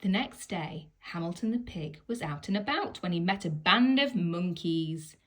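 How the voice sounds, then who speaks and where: A woman telling a children's story aloud in an expressive storybook style. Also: fairly low pitch, normal speed. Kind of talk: expressive children's picture book read-aloud.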